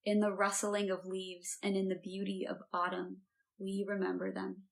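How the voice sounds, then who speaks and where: A woman solemnly reciting a memorial litany in a measured, repeating cadence. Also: mid-range voice, monotone, vocal fry, poor audio. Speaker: reader at a memorial service.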